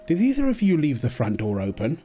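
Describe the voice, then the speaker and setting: A man's voice: on the high side, wildly swooping pitch, a little fast, dark tone, husky, faint music behind, voice pushed up, heavily acted. A man voicing a concerned, politely astonished mother bear in a children's bedtime storybook. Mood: surprised.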